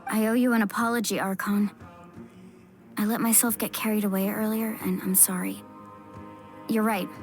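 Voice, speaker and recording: A woman's voice: medium pitch, little pitch movement, moderate pace, pauses often, faint music behind, natural voice. A woman voicing an earnest, emotional young female warrior in a game. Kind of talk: game voice acting.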